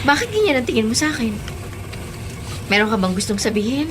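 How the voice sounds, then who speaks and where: A woman playing a hardworking, protective older sister in a radio drama, brisk and assured. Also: fairly high pitch, very animated, noisy background. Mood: concerned. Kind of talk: Tagalog radio drama acting.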